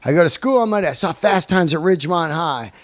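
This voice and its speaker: A man's voice: fairly high pitch, lively intonation, fast talker, no room sound. A middle-aged American man chatting casually as a podcast host, emphatic and repeating himself as he agrees. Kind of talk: casual chat.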